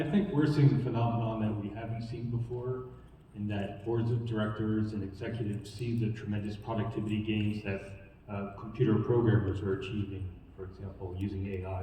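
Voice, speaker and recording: A male panelist gives a calm, analytical answer in a low, flat and mellow voice. His words carry from a distance through a big, echoing hall. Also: a little hiss or hum. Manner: measured, analytical. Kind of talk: panel discussion. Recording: echoey hall, distant mic.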